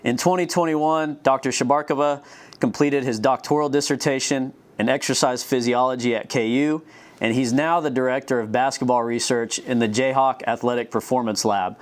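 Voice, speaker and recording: A man's voice: medium pitch, fairly even intonation, normal speed. A man hosting an interview in a studio, reading a scripted introduction and then joking with his guest. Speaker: host, professor. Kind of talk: interview host.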